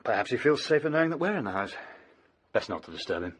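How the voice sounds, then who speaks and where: An actor playing an anxious young English gentleman in a radio drama, earnest, well-spoken and a little hesitant. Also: medium pitch, very animated, fast talker, a little hiss or hum. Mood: uneasy, friendly. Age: young adult.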